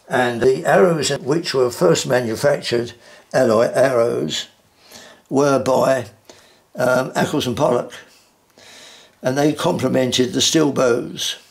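A small private museum, an old gentleman collector walking a visitor past his cabinets, unhurried, halting and courteous, his creaky voice echoing slightly off the walls. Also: medium pitch. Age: 80s or older. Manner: halting, measured, formal courteous phrasing. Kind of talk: informal guided tour commentary. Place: wood-floored indoor collection room. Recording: roomy indoor echo.

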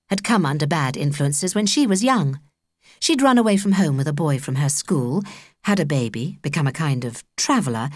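Female British audiobook reader for children's fiction; expressive, varied pitch in a dry recording. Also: no echo. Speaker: audiobook narrator. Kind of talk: scripted children's story narration.

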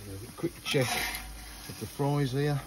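An older man talks viewers through his cooking at an outdoor grill, chatty and homely, often pointing things out. His voice sits in the middle of the range. The outdoor recording carries clear background noise. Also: medium pitch, slow. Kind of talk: casual cooking vlog. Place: garden patio by a grill, outdoors.

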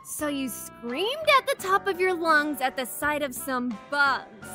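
A woman's voice: high-pitched, sing-song, a little slow. A woman voicing a stern, easily disgusted young guild receptionist in an anime parody, over music. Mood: incredulous. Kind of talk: cartoon parody voice acting. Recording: music bed under the dialogue.

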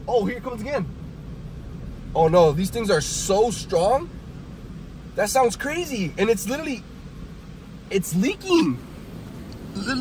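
Excitable young man filming in his truck. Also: very high voice, a little hiss or hum, rough recording. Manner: excitable, nervous yelps and laughs, talks to viewers. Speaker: vlogger. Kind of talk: casual vlog commentary. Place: inside a truck in a car wash. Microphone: handheld phone camera.

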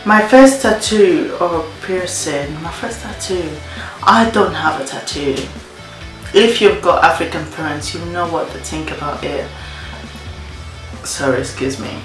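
A young woman with Spanish as her first language talks slowly and loosely to camera, repeating herself and dropping plenty of ums. Her voice sits a little low. The room gives it a roomy echo, with faint music underneath. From Spain. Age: young adult. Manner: chatty, repeats her own phrases, many ums. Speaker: vlogger. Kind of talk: casual story-time vlog. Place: indoor room against a plain wall.

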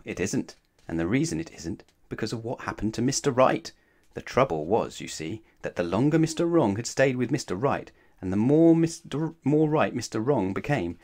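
Casting: male bedtime-story narrator, expressive and very lively in pitch, leaning on words for drama. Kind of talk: expressive children's read-aloud.

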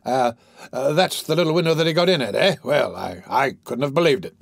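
A man voicing a jolly, eccentric, stout old bachelor doctor who blusters and fusses excitedly. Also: mid-range voice, brisk, creaky, no room sound, studio quality. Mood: hearty.

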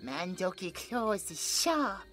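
A British man voicing a gentle, childlike possessed male creature who talks about himself in the third person with broken grammar. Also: sits high, very animated, slow, soft background music, full character voice. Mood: frightened, protective. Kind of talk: horror audio roleplay.

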